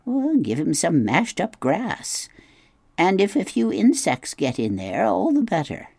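A woman voicing a blunt, suspicious old female goose in an audiobook, talking in a brisk, scolding way. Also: fairly low pitch, wildly swooping pitch, vocal fry, warm tone, husky, dry recording, pitched above the performer's own voice, heavily acted. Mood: bossy advice. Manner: brisk, scolding, matter-of-fact. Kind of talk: audiobook reading.

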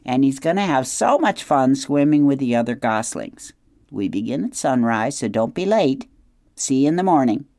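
A man voicing a cheerful, bossy old female goose in an audiobook, speaking in a bright, chatty, instructive way. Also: medium pitch, animated, normal speed, no room sound, clean studio sound, natural voice. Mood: encouraging. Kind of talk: audiobook character voice.

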